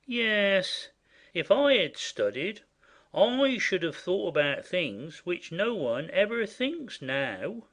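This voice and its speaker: An actor playing a simple, boastful, smitten manservant in a classic comedy audio play, with a naive, exclaiming manner. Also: male voice, high-pitched, big pitch swings, slow, fairly bright tone, full character voice. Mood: cheerfully boastful. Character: simple, boastful male servant, cheerfully self-satisfied and smitten.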